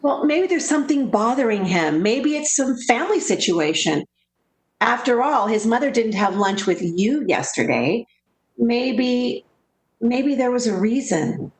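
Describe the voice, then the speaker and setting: Actress as animated, protective woman friend, echoing room. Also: a little high, measured pace. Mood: reassuring, practical. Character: Alice, sensible, protective woman friend, practical and consoling. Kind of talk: 1940s-style radio theater acting.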